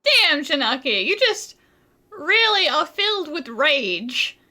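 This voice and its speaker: A woman's voice: high-pitched, sing-song, slow pace, bright, forceful tone. A woman giving casual commentary while playing through a video game. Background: faint game music.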